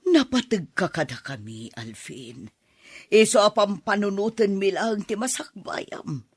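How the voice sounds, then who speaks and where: A woman's voice: mid-range voice, lively intonation, a little slow, husky, no echo, clean studio sound. A woman playing an anxious, placating middle-aged mother in an Ilocano radio drama, coaxing and worried.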